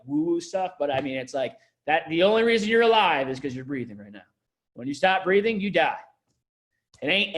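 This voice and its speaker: A young man giving casual wellness tips to a group, rambling with many fillers and bursts of emphasis. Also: medium pitch. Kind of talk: casual motivational talk.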